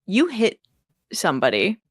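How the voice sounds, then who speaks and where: A woman's voice: mid-range voice, wildly swooping pitch, unhurried, slightly rough, no room sound, clean studio sound. A woman narrating a tabletop role-playing game as game master, casual and chatty with fillers.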